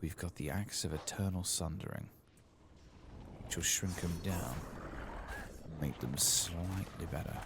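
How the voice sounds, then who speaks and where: A man giving soft-spoken ASMR game commentary, murmuring and at times drifting toward a whisper. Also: low-pitched, unhurried, long pauses, noisy background. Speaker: gaming video host.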